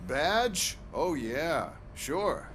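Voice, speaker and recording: Smug, domineering police boss. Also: male voice, on the high side, very animated, drawn-out words, a bit bright, slight background noise, exaggerated voice. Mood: smug, dismissive. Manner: condescending, sarcastic, domineering. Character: smug, bullying corrupt male police boss. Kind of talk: video game cutscene acting.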